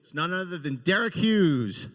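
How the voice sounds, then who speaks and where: A man hosting unscripted, introducing things effusively and enthusiastically, with a halting, filler-heavy delivery. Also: sits high, lively intonation, fairly bright tone. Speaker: podcast and convention panel host. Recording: echoey hall with long reverb.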